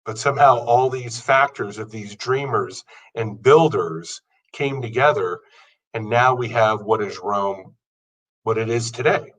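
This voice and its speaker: A man's voice: on the low side, measured pace, a bit bright. Earnest man in his fifties, mic at a distance. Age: 50s. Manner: earnest, building through lists. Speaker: podcast host. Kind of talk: motivational monologue.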